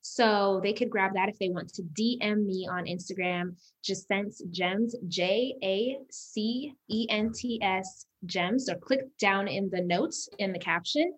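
A woman's voice: mid-range voice, unhurried, few pauses, slightly rough, low-quality recording. A woman from Uganda, raised in the US, speaking as an upbeat, motivational guest on a casual podcast interview, often laughing. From Uganda, raised in Las Vegas. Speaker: guest entrepreneur.

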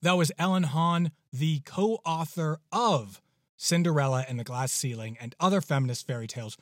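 A man's voice: fairly high pitch, no echo, studio quality. A man speaking as a podcast host, giving a casual outro.

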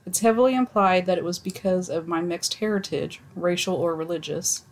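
Woman, casual co-host, reads stories aloud with dry, deadpan wit. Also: on the low side, brisk. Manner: wry, deadpan asides and swearing. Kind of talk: casual chat reading posts aloud.

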